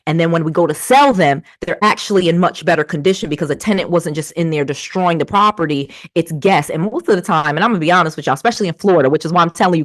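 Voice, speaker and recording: Low-pitched American woman, emphatic and persuasive. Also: dry recording. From Connecticut, United States. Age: 40s. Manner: emphatic, persuasive, repeats key phrases. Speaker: real estate investor and coach hosting a livestream. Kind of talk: casual motivational livestream talk.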